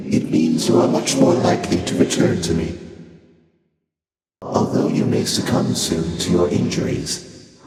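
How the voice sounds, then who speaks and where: Ancient void god for a video game, super deep, formal and detached, huge reverb, otherworldly. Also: male voice, vocal fry. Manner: stately, detached, archaic formal diction. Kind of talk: video game voice acting, measured formal monologue. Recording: cavernous reverb under loud music. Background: loud music.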